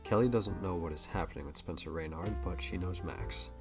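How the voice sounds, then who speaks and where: A man's voice: deep voice, a little fast, vocal fry. A man reading scripted narration for an audio drama. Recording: guitar music behind the voice.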